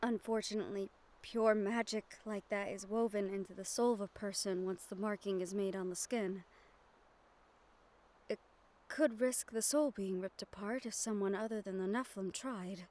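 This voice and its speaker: A woman playing a gentle, earnest young magic-wielder in an audio roleplay, soft-spoken and measured with thoughtful pauses. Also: medium pitch, steady tone, lightly acted. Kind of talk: audio roleplay acting, addressed to the listener. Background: ambient sound effects.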